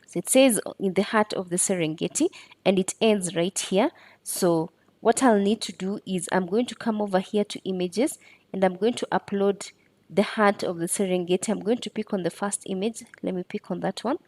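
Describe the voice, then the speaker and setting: Woman tutorial presenter, mellow and relaxed tone, dry close sound, methodical instructional delivery. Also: medium pitch, moderate pace, dry recording. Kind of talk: step-by-step screen tutorial narration.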